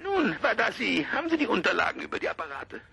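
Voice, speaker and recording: An actor playing an impatient, bullying police official, curt and scornful over a telephone. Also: male voice, high-pitched, wildly swooping pitch, quick pace, creaky, noisy background, exaggerated voice.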